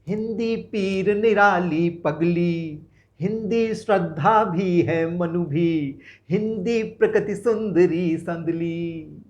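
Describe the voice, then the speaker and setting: Slow, high-voiced man chanting poetry. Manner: chants refrains slowly, drops to quick quiet asides between verses. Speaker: poet reciting his own song. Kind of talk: slow sung poetry recitation with spoken asides.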